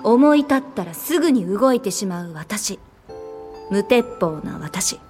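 A woman's voice: medium pitch, a little slow, soft background music. A woman acting in a Japanese radio drama as a cheeky, impulsive young woman who talks boldly and teasingly. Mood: teasing, exasperated. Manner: bold, teasing, expressive.

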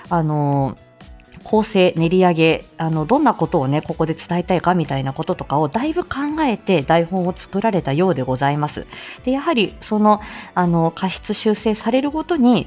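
Animated woman hosting a live stream. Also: fairly low pitch. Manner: giggly, playful, polite. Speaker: live audio stream host. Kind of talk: casual live chat and announcements.

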